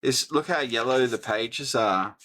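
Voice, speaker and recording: A middle-aged Australian man hosting a casual electronics book review, thinking aloud with many pauses and restarts. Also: mid-range voice, pushed and bright. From New South Wales, Australia. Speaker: host.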